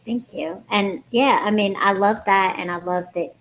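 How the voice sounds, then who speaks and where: A woman's voice: mid-range voice, steady tone, moderate pace, poor audio. A woman hosting an interview, affirming her guest and asking thoughtful follow-up questions. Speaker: podcast host.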